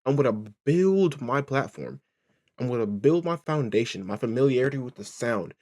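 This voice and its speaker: A man's voice: mid-range voice, animated, normal speed, darkish tone. A man rambling through casual reaction commentary, restarting his sentences, swearing freely and asking "right?" over and over. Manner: rambling, restarts, keeps asking "right?" and "you know what I'm saying?". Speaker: reaction streamer.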